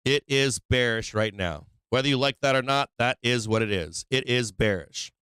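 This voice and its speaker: A man's voice: medium pitch, normal speed, sharp tone, clear, resonant tone, dry recording. A man who is losing his voice from being sick, hosting a casual stock-trading livestream, thinking aloud over charts and tossing in rhetorical "right?"s. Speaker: stock market livestream host.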